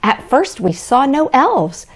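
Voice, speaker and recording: A woman plays Santa's kindly wife as a storybook narrator for young children. The delivery is gentle and cheerful, taken at a leisurely pace. The melody rises and falls with plenty of expression. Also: sits high, big pitch swings, slow, breathy edge, voice pushed up, exaggerated voice. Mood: gentle, excited. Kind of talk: children's storybook read-aloud.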